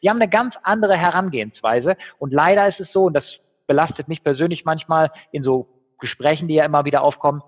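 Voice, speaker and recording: A man speaking as a guest in an interview, telling stories quickly with fillers. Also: on the high side, slightly rough. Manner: fast, animated storytelling with fillers. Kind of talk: conversational interview. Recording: tinny phone-like line with long reverb.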